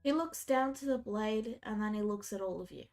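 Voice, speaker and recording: A woman's voice: mid-range voice, normal speed, faint music behind. A woman game master narrating a tabletop game casually, sounding a little congested from a cold. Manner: casual, relaxed narration with fillers.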